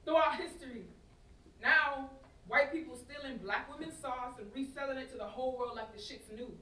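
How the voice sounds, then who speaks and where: Woman acting fiery, high bright-voiced young London woman. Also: normal speed. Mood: exasperated. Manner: emphatic, slangy, swinging into academic rhetoric. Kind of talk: staged play reading. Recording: distant, echoey stage recording with background noise.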